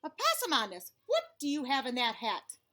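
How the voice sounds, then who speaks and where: A woman's voice: high-pitched, huge pitch swings, pushed and bright, full character voice. Woman acting out an exasperated, emphatic storybook mama. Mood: suspicious questioning. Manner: drawn-out, emphatic scolding and instructing. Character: exasperated, scolding, loving Southern-folktale mama of a silly child.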